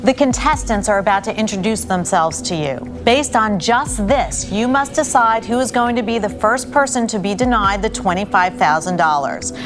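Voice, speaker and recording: A woman's voice: mid-range voice, lively intonation, normal speed, few pauses. Woman hosting a game show, presenter style, music playing behind her. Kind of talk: scripted game show presenting, questioning a panel.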